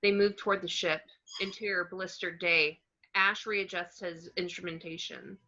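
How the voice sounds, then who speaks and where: A woman reading screenplay action descriptions as narration, in a plain, measured way. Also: lowish voice, steady tone, sharp tone. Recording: slightly dull home microphone.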